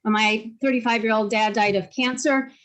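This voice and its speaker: A woman's voice: fairly high pitch, fairly even intonation, moderate pace, a bit bright. Earnest woman, mayoral candidate. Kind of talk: forum answer on a video call. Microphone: video call.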